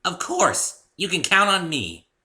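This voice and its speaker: Casting: bubbly girl pony for a cartoon storybook, played by a man, pitched up high, bright, chirpy, friendly and rambling. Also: sing-song, a little slow, heavily acted. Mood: playful, eager. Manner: perky, sweet, rambling. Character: bubbly young female pink cartoon pony, friendly, scatterbrained.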